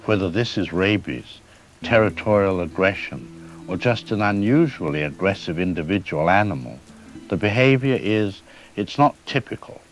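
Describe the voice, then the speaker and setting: A man playing a careful, deliberate wildlife expert doctor interviewed on the news in an audio drama. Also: low voice, animated, soft background music. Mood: measured concern. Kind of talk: broadcast interview acting.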